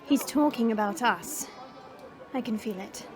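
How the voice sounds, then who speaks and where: Proud, teasing young princess for audio drama female actor, very high voice, animated, music underneath. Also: measured pace, lightly acted. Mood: hurt indignation. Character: haughty, playful, naive small young princess in disguise.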